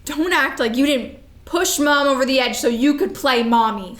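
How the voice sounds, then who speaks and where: A woman's voice: high-pitched, moderate pace, fairly bright tone. A woman acting a fearful, accusing adult younger sister in a staged play reading. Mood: hurt, accusing. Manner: insistent, wounded.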